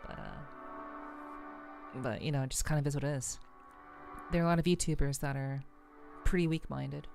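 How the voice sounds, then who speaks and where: A woman hosts a cozy, casual ASMR livestream in a low, soothing voice with plenty of vocal fry. She speaks in a relaxed, halting way, addressing viewers directly. Faint music plays behind her. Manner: relaxed, unhurried, halting delivery. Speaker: VTuber livestream host.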